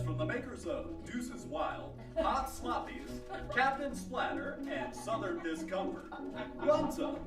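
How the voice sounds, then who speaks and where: A performer playing a slick, boisterous sandwich pitchman in a parody old-time radio commercial, delivering hammy salesman patter. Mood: slick, upbeat. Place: live studio broadcast before an audience. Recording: roomy live stage, distant mic, loud music.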